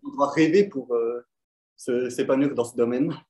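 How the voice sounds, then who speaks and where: A man from Belgium, a quiz winner, answering interview questions in French with many fillers and pauses. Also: medium pitch, dark tone. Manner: hesitant, many fillers, thoughtful. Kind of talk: casual interview answers over an online call.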